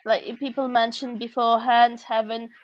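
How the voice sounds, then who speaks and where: A woman's voice: fairly high pitch, flat delivery, normal speed, highs cut off, slight background noise. A woman from Russia with a Russian accent, a guest author speaking candidly and reflectively, often laughing, over a video call.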